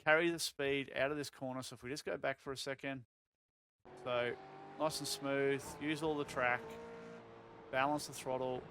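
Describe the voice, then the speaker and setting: A man, voice going croaky, coaching a sim racing lap in casual talk with lots of fillers. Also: mid-range voice, moderate pace, slight background noise. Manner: relaxed, filler-heavy explaining with many "um"s. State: voice getting croaky.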